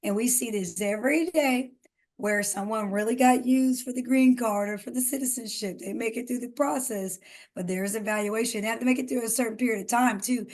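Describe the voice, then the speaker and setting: A woman's voice: a little high, fairly quick, darkish tone. A woman co-hosting a podcast, talking earnestly and giving emphatic advice in a casual conversation. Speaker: podcast co-host.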